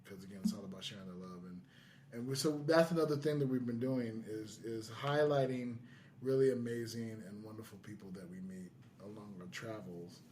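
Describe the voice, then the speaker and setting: A man's voice: fairly low pitch, a little fast, darkish tone. A man chatting casually as a podcast host, speaking earnestly and with enthusiasm.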